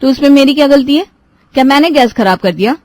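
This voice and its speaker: Woman voicing a defensive young daughter-in-law, higher pitched. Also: lively intonation, fast, darkish tone. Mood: indignant. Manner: defensive, muttering excuses. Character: careless, defensive young daughter-in-law who cooks stale food. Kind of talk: Hindi cartoon moral story dialogue.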